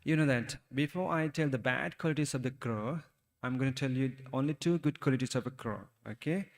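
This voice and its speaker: A man with a Sri Lankan accent, a Buddhist monk giving a talk to young listeners, speaking haltingly and asking them questions. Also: medium pitch, big-room sound. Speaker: Buddhist monk teaching youth. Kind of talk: Dhamma talk for children.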